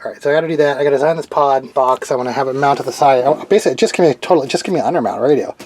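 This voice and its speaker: Casual rambling man over clear background noise. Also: medium pitch, racing, darkish tone. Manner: rambling, informal, thinks aloud. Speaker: DIY radio hobbyist presenting own project. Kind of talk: casual, unscripted project narration.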